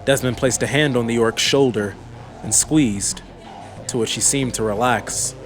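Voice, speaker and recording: A man narrates a fantasy audiobook in a voice of average pitch. It is scripted storytelling, set over clearly audible music. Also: measured pace.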